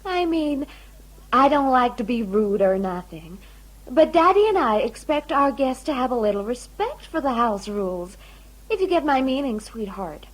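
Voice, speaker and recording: An actress playing a syrupy, condescending, folksy mistress of the house in an audio drama. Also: female voice, sits high, very animated, moderate pace, low-quality recording. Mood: sweetly threatening.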